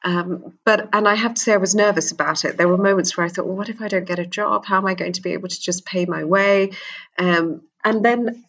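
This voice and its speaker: A woman's voice: medium pitch, fairly even intonation, a little fast, a bit bright. A middle-aged woman born in England, speaking candidly and earnestly as an interview guest, often repeating words as she starts a thought. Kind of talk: conversational interview.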